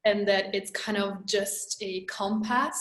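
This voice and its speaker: A woman's voice: mid-range voice, fairly even intonation, slow, fairly bright tone. Reflective young woman in a roomy echo. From Romania. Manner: reflective, flowing, repeats words while thinking. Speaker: guest, energy healer and mindset coach. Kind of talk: casual interview conversation.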